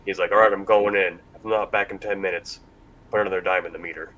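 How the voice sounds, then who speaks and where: A man's voice: deep voice, steady tone, quick pace, a bit bright. A man hosting a casual panel discussion in a rambling, self-deprecating way. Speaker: panel host. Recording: faint background noise.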